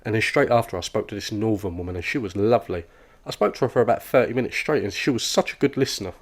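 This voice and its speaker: Man acting fast-talking, oblivious office chatterbox. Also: on the low side, lightly acted. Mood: earnest rambling. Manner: rambling, oversharing, cheerfully oblivious. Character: oblivious, relentlessly chatty male office worker. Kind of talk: comic animated dialogue.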